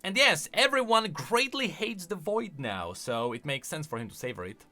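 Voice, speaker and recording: A man's voice: on the high side, very animated, normal speed, bright, forceful tone, faint music behind. A man hosting a video, giving off-the-cuff, emphatic commentary that explains game lore.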